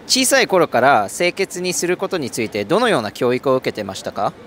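Lively polite male street interviewer. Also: fairly high pitch, brisk, a little hiss or hum. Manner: polite, formal questioning. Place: city street outdoors.

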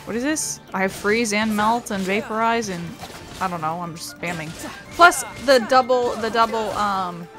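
A woman streamer commentates on a game in a casual, rambling way. Her voice is medium in pitch and her manner is wry and joking. Game music plays behind her. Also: moderate pace. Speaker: gaming streamer.

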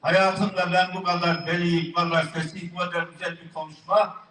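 A man delivering a fervent sermon, his voice pitched high and sharply bright. It rings out over loudspeakers and echoes from a distance, with faint crowd ambience underneath. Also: little pitch movement, brisk, a little hiss or hum. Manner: impassioned, rising to high fervent cries. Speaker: preacher. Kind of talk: emotional Islamic sermon. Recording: echoey public address from far off.

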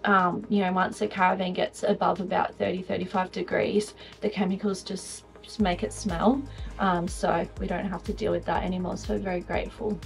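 A woman's voice: fairly low pitch, flat delivery, normal speed, vocal fry, poor audio. Young aussie woman giving a caravan tour, chatty and upbeat, lots of ums, faint music under it. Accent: Australian. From Australia. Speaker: vlogger showing a caravan. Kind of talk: casual walk-through chat.

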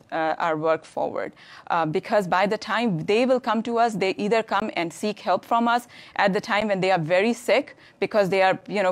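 A woman in her thirties from Pakistan, a hospital physician on a studio panel, speaking earnestly and often restarting her phrases. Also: mid-range voice. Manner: earnest, searching for words, repeats phrases. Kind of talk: panel discussion. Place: studio stage with curtain backdrop.

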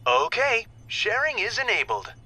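Polite young male scientist for a game: articulate, lightly high, expressive. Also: a little slow, faint music behind, full character voice. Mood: thoughtful. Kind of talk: video game voice acting.

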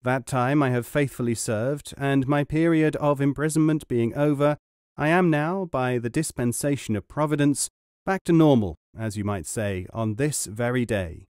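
A man's voice: mid-range voice, normal speed, a little mellow, smooth, no echo, clean studio sound, lightly acted. A man voicing a sly young noble student in a storybook, speaking with earnest, pious politeness. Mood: sad, pious. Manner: earnest, pleading, formally polite. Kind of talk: storybook character reading.

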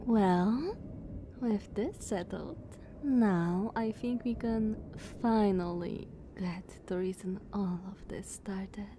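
A woman playing a dominant vampire sister in an ASMR roleplay, speaking softly and breathily with sweet condescension. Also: medium pitch, slow, noisy background, lightly acted. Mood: sweet, hungry. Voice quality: soft, breathy, often whispered.

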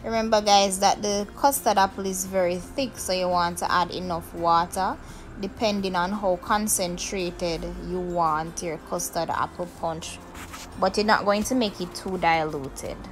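A woman's voice: on the low side, fairly even intonation, a little slow, soft background music, poor audio. A woman hosting a cooking tutorial, talking viewers through each step and often checking in with "okay, guys" and "right?". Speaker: cooking show host.

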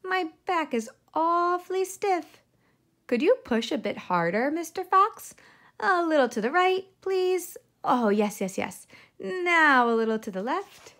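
A woman's voice: very high-pitched, expressive, measured pace, a bit bright, voice pushed up, full character voice. A woman voicing a small, sly male piglet in a children's storybook, sighing and coaxing with mock innocence. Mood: cozy wheedling. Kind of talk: children's storybook read-aloud.

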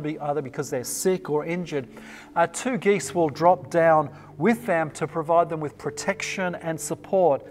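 A middle-aged man with an Australian accent, giving an online sermon in a relaxed, conversational way with plenty of ums and uhs, recorded on a lapel mic in a small indoor set. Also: on the high side. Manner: conversational, relaxed preaching with frequent fillers. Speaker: pastor.

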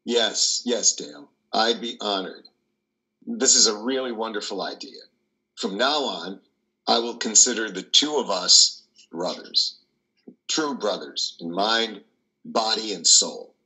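An older man plays a compassionate old priest in an online play reading. The voice is low with a bright edge. The delivery is gentle and measured. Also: exaggerated voice, low-quality recording. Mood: kindly, consoling. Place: dim room indoors. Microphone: video call, close to computer.

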